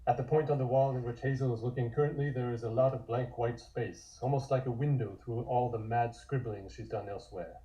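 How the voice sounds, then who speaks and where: A man's voice: mid-range voice, steady tone, fairly quick, hardly any pauses, mellow, low-quality recording. A man reading scripted scene descriptions as the narrator of a table read.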